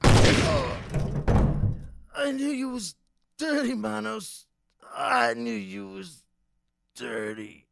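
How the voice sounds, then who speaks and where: Gravelly, uneasy gangster henchman. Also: very high voice, very animated, very slow, pauses often, fairly bright tone, full character voice. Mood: pained, betrayed. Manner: hesitant, questioning. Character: uneasy 1920s henchman gunman, slow on the uptake. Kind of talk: period crime audio drama acting.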